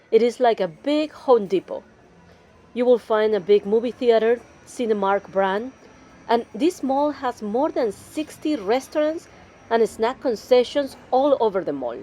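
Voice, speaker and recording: Higher-pitched, mellow woman narrating. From Peru. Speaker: travel vlog host and narrator. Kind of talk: scripted travel narration.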